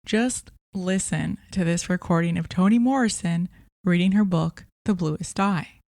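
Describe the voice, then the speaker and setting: A woman's voice: fairly low pitch, measured pace, a little mellow, no room sound, clean studio sound. A woman hosting a review podcast, speaking in a scripted narration style. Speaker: podcast host and audiobook reviewer.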